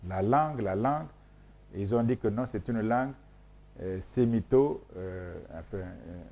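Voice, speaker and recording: A man's voice: fairly low pitch, a little slow, dark tone. A man whose first language is Mboshi, from Congo, explaining his research in an interview in an emphatic, repetitive, lecturing way with frequent hesitations. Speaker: scholar being interviewed.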